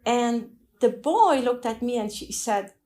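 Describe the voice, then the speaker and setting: Middle-aged woman, French accent, from Afghanistan; soft, reflective personal testimony. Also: fairly high pitch, lively intonation. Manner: thoughtful, soft-spoken, pauses and restarts while recalling. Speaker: interviewee telling her migration story.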